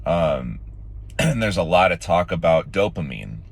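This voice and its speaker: A man with a raspy, sore-throated voice, casually talking to his viewers in a rambling vlog with many fillers and restarts. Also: low-pitched, sing-song, a little slow. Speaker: vlogger. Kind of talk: casual morning vlog talk.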